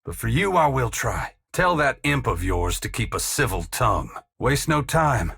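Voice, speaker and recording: Fantasy game saint: humble undead farmhand, weary and earnest, mid-pitched creaky rasp, plain country phrasing with solemn flourishes. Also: male voice, big pitch swings, normal speed, pushed and bright, heavily acted. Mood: solemn resolve. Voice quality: gravelly, husky. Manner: plain-spoken rustic phrasing mixed with solemn archaic oratory. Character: humble dead farmer-saint, once god-touched, wry, earnest and weary. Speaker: video game character. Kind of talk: dramatic fantasy game dialogue.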